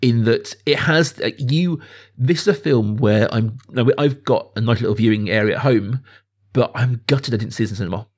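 A man's voice: medium pitch, normal speed, a little mellow. Chatty man hosting a film podcast. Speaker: podcast host. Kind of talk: casual film discussion.

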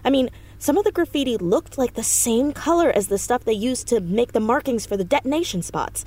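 A woman's voice: a little high, expressive, brisk, a little mellow. A woman acting a confident, precocious fourteen-year-old American girl detective in an audio drama, earnest and articulate. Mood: intent, confident. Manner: earnest, articulate, rattles off deductions in long sentences.

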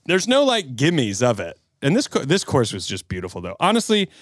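A man's voice: mid-range voice, huge pitch swings, brisk, no echo, clean studio sound. A man co-hosting a podcast, chatting casually with friends, joking and self-deprecating and laughing often. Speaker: podcast co-host. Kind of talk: casual chat.

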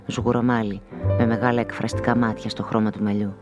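A man performing an introspective narrator of a radio play, a reflective woman in the script. The voice is low and measured, telling a first-person literary story with music clearly underneath. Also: level tone, close to an everyday voice. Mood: reflective, wistful. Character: reflective, inquisitive woman narrator who smokes, unmarried.

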